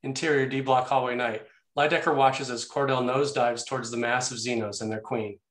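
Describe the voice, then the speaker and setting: A man with a medium-pitched voice narrates a screenplay, reading out action and scene headings. He is recorded in an echoey room. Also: steady tone, fairly quick.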